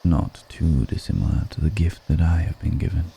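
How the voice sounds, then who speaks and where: A man playing a brooding, melancholic undead vampire in an intimate ASMR roleplay, speaking in a measured, poetic murmur with pauses between phrases. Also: slight background noise, exaggerated voice. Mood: solemn, melancholic. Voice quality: very deep, dark, creaky murmur.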